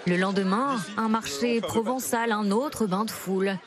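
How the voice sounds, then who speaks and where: A woman reporter narrating a scripted television news segment in a measured way. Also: mid-range voice, over a noisy crowd, very noisy. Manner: measured, even report delivery. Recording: faint music underneath.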